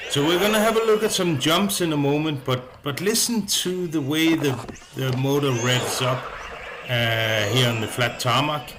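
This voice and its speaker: A man's voice: a little high, moderate pace, background noise. A man giving a casual, unscripted hobby review commentary, with hesitant, rambling phrasing.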